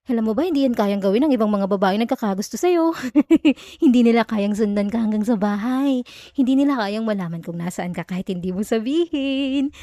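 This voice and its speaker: High-voiced obsessive yandere stalker woman, acted out. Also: dark tone, no echo, lightly acted. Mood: insistent, desperate. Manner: coaxing, giggly, veiled threats, halting stammers. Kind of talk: ASMR roleplay.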